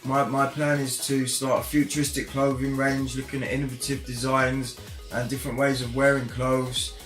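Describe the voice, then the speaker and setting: A young man giving an enthusiastic testimonial about a programme, halting with frequent restarts. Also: medium pitch, flat delivery, fairly bright tone. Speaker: programme participant. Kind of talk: unscripted testimonial. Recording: roomy echo with faint background music.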